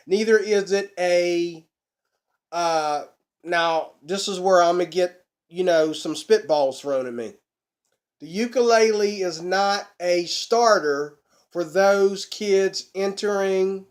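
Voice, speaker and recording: A middle-aged man, a bit hoarse from a cold, chatting folksily to his webcam at home about ukuleles. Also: sits high. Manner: folksy, chatty, preacher-like emphasis. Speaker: ukulele channel host. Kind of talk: casual instructional vlog. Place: small room at home, indoors.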